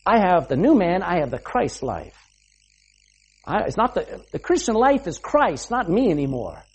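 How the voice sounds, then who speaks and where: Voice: medium pitch, sing-song, normal speed, a little mellow, husky. A preacher giving an emphatic sermon, asking the listeners rhetorical questions and punctuating points with "amen".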